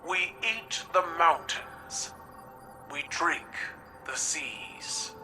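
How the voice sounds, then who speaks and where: An actor playing a proud, warlike alien imperial leader, giving a declamatory, rousing speech in a video game. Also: male voice, animated, very slow, distant mic, over music. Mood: fierce, rousing. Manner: declamatory, booming oratory.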